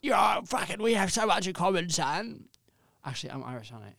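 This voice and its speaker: A man's voice: high-pitched, very animated, brisk, vocal fry, a bit bright, dry recording, clean studio sound, full character voice. A man playing a cranky 150-year-old granddad. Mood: self-pitying. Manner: mock-indignant ranting, sweary.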